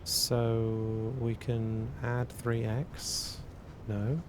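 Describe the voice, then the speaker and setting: A man streaming casually, answering chat and working through math aloud in a halting way that repeats words. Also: completely flat delivery. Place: cold indoor room. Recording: noisy with a low rumble.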